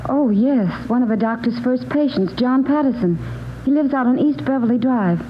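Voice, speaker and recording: An actress playing a polite, guarded doctor's receptionist in a 1940s radio drama. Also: female voice, high voice, lively intonation, normal speed. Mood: obliging. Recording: muffled, noisy old radio broadcast recording.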